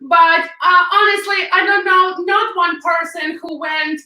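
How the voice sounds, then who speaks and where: Ukrainian-accented woman guest, high bright voice, echoey, a bit off-mic on a call. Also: steady tone, measured pace, far from the mic. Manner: fillers and non-native grammar, laughs often. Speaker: guest, hairstylist. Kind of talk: interview answers, telling her story.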